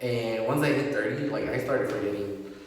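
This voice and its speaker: A man's voice: medium pitch, moderate pace. A man in his early thirties talking casually with a friend, rambling and repeating himself with many fillers. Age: 30s. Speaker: guest friend. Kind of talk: casual chat. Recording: echoey room, distant mic, faint background hum.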